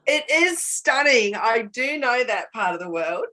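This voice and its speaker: Kiwi woman in her forties, friendly podcast host on a video call, a bit high-voiced, chatty and long-winded. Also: animated, a little slow, sharp tone, poor audio. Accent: New Zealand. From New Zealand. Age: 40s. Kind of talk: casual video-call interview.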